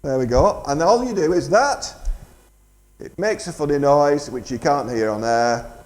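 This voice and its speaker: A man's voice: on the high side, a little slow, darkish tone. A man presenting live community radio in a chatty, jokey way. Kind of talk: live radio interview and fundraising appeal. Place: radio studio stage in an indoor market. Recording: echoey, roomy live stage sound.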